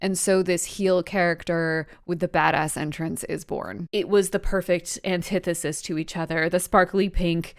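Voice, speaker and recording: A woman's voice: lowish voice, steady tone, moderate pace, hardly any pauses, clean tone. A woman giving a casual, chatty recap to camera, enthusiastic and full of emphatic asides. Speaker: video creator and wrestling reaction host. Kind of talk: casual scripted recap vlog.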